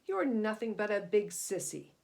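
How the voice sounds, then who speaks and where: A woman as a loud, sneering bully shark. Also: mid-range voice, sing-song, normal speed, creaky. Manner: sneering, taunting, forceful. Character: sneering bully shark goading others to mischief.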